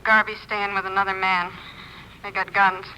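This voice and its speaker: Tense gangster's girl informant, American, extremely bright edge, flat delivery, subdued, noisy old radio recording. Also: mid-range voice, normal speed. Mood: anxious. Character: tense young female informant tied to gangsters. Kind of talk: 1950s radio acting.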